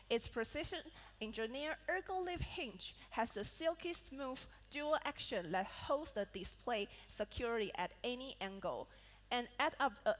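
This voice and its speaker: A woman with a Malaysian English accent, presenting a product keynote on stage and reading prepared points with small slips and restarts. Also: a little high. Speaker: product manager presenting a laptop launch. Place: large event hall on a stage. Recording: reverberant event hall sound.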